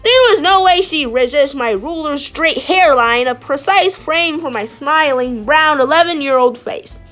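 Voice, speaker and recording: A woman reading a children's picture book aloud in an expressive, animated way, with dramatic emphasis on key phrases. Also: high-pitched, measured pace, soft background music. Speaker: storybook reader.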